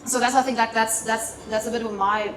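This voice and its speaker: A woman's voice: a little high, fairly even intonation. Woman moderating, distant in a noisy echoey hall. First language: German. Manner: halting, repeats words while searching for them. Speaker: panel moderator. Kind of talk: live panel discussion. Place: seminar hall at a festival. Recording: roomy echo from far off, loud background noise.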